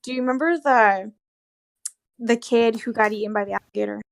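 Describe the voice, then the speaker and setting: High-voiced, sweary young woman. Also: fairly even intonation, fairly quick, creaky, dry recording. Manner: sweary, slangy, heavy use of "like". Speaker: former theme park character performer telling stories. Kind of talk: casual gossipy storytelling.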